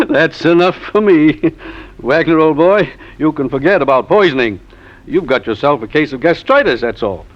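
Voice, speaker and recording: An actor playing a brisk, reassuring doctor in an old radio mystery, breezy, familiar and matter-of-fact. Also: male voice, on the high side, animated, close to an everyday voice. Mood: amused, reassuring. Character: brisk, easygoing, reassuring male small-town doctor. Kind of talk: 1950s radio mystery acting. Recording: dull, noisy old radio recording.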